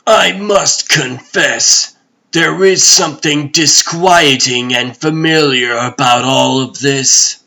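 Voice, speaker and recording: Imperious comic-book tyrant god played by a man, very slow delivery, forceful edge, cold and pompous. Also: mid-range voice, creaky, exaggerated voice. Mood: brooding, intent. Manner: pompous, commanding, deliberate. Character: imperious male god emperor, cold, haughty, brooding tyrant. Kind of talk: comic book dub, dramatic voice acting.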